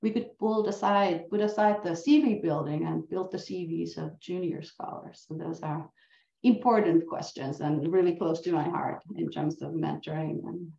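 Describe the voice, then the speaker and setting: A woman's voice: on the low side, moderate pace, creaky, mellow. A middle-aged woman with a Finnish accent, a native Finnish speaker, giving an earnest, reflective academic lecture over a video call and asking many questions. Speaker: university professor. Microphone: laptop mic on a video call. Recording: roomy, dull-sounding video call.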